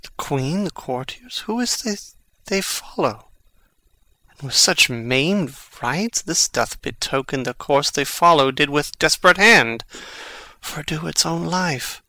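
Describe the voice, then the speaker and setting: An actor playing a brooding, impassioned young prince in a dramatic Shakespeare reading, with deliberate theatrical delivery. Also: male voice, a little high, lively intonation, bright, forceful tone, dry recording, full character voice. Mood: somber, watchful.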